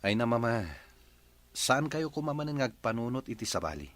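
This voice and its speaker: A man's voice: medium pitch, expressive, a little fast, fairly bright tone, natural voice. A man playing an evasive young adult son who brushes off his mother's questions.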